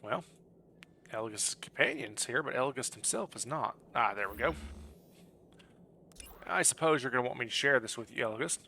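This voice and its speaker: Man, bright crisp-edged voice, lower-middle everyday pitch, casual streamer chat, friendly, thinking aloud, noisy background. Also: expressive, normal speed, pauses often. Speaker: game streamer. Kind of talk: casual livestream chat over gameplay.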